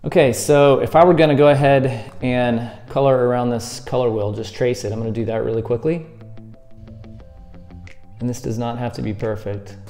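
A man's voice: mid-range voice, steady tone, normal speed, warm tone, faint music behind. A man in his thirties hosting a friendly how-to video, explaining step by step while he handles props at a table indoors. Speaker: escape room tutorial host. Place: indoors at a table against a plaster wall.

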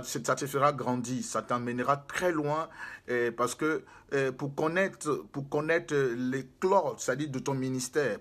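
A man's voice: mid-range voice, fairly bright tone. A man, preaching to a camera in a small room, emphatic and repetitive, gesturing as he speaks. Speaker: prophet and spiritual guide.

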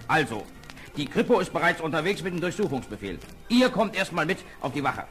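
A man's voice: on the high side, very animated, brisk, fairly bright tone, faint music behind. An actor playing a sceptical, stern policeman in a radio play, clipped and matter-of-fact. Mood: stern, official.

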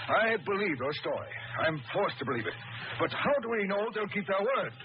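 A harried police commissioner, with a high voice for a man. He is formal, urgent and easily exasperated, in 1930s radio drama manner. The sound is dull with clear background noise. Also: animated, fairly quick. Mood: worried. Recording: noisy, muffled 1930s radio recording.

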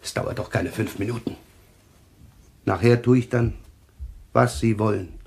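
A man's voice: fairly low pitch, a little slow, a little mellow. An actor playing a desperate, agitated man who calls himself a prophet, pleading insistently in a German thriller radio play. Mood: resigned pleading.

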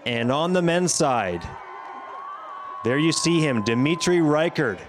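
A man giving scripted highlights commentary on a sports competition as the commentator. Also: lively intonation, slow, a crowd in the background, noisy background.

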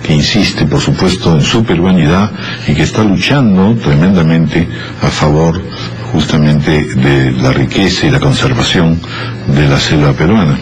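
A man's voice: very deep voice, a little slow, slight background noise, rough recording. An older man hosting a radio interview in a studio, formal and courteous, speaking into a desk microphone. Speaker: radio host. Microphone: studio desk microphone with headphones.

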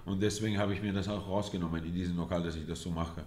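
A man's voice: low voice, steady tone, rapid-fire, darkish tone. A young man from Vienna, telling his story casually as an interview guest. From Vienna, Austria. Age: young adult. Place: small indoor studio lounge. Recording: echoey room, faint noise.

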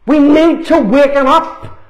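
An older man in his sixties preaches with fervour in a high-pitched voice. He drives points home with emphatic repetition and deliberate pauses. The room adds a clear echo around his words. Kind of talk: fervent sermon. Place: small church meeting room, indoors. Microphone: lapel mic. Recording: roomy, echoing meeting room.

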